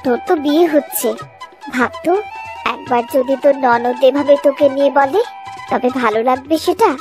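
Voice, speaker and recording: Woman acting calm, reasoning cartoon mother over music. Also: high-pitched, normal speed, close to an everyday voice. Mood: gently reasoning. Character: older mother, calm, fair-minded and reasoning. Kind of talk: cartoon family drama dialogue. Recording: music behind the voice.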